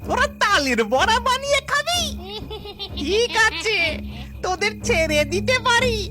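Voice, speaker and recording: A woman's voice: very high voice, very animated, measured pace, loud music behind the voice, full character voice. A woman voicing a greedy ghost husband in a children's cartoon, wheedling and bossy. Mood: bargaining, threatening. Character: greedy, bargaining old-married male ghost husband. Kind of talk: Bengali children's cartoon voice acting.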